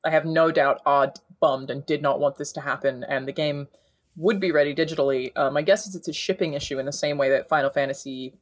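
A young adult Australian woman with a fairly deep voice, talking in a casual podcast chat and laughing often. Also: fast talker. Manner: laughs often, chatty and animated. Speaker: podcast co-host.

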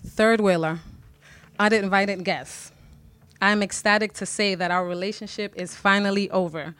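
A woman performing spoken word poetry at a reading, slow and measured. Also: medium pitch, fairly even intonation, fairly bright tone. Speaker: poet reader.

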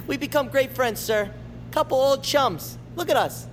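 A man's voice: extremely high pitch, slow pace, fairly bright tone, slight background noise, heavily acted. An actor playing an eccentric, jokey male psychiatric patient who talks in a theatrical, playful way in an audio drama. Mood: manic, putting on an act. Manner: theatrical, playful, rattling off odd metaphors.

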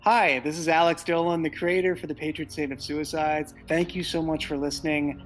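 A man's voice: a little high, flat delivery, normal speed, faint music behind, poor audio. A man giving a scripted thank-you announcement to listeners as the creator of the show.

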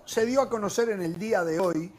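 A man hosting a sports talk panel, animated and leaning on "eh" fillers as he leads a heated debate. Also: sits high, a little fast, slight background noise. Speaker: sports talk show host.

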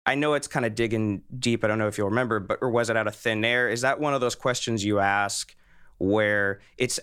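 A man's voice: fairly low pitch, fairly bright tone, smooth. A man hosting a casual interview, talking in a discursive way and rephrasing his questions as he goes. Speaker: podcast host, interviewer.

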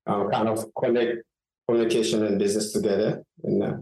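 Halting man from Haiti, echoey call. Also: fairly low pitch, little pitch movement, warm tone. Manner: halting, many fillers and restarts. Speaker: guest journalist and author. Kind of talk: interview answers. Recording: echoey remote call audio.

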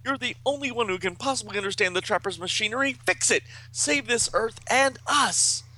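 A man's voice: high-pitched, a little fast, sharp tone, pitched above the performer's own voice, full character voice. A man voicing anguished teenage male superheroes in a breathless, melodramatic comic book read-aloud. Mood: desperate.

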